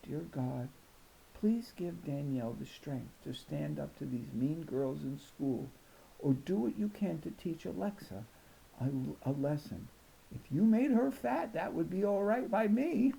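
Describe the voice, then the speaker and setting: A blunt, protective old farm grandmother from a children's storybook, as a man reads her. The voice is raised in pitch and swoops with emphasis. She sounds tough and indignant. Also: animated, unhurried, creaky, mellow, slight background noise, full character voice, low-quality recording. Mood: praying firmly. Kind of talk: storybook character reading.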